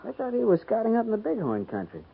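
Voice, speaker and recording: An actor playing an earnest, deferential junior cavalry officer, slightly halting, in a 1950s radio western. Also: male voice, high-pitched, huge pitch swings, creaky, slightly rough, full character voice. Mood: dutiful. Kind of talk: 1950s radio drama acting. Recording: noisy, tinny 1950s radio recording.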